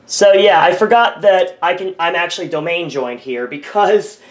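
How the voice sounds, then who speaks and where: A man's voice: sits high, moderate pace, echoey room. A man casually commenting on his own screen recording, thinking aloud, rambling and often correcting himself. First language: English. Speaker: tech video creator.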